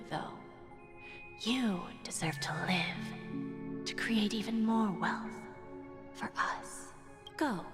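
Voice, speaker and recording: Icy powerful boss lady in a game, low-ish silky voice, condescending, big reverb. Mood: commanding, condescending. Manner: deliberate, silky, condescending, breathy murmurs. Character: imperious, coolly menacing woman executive interrogating a prisoner. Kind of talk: dramatic game voice acting. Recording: long hall reverb, music underneath.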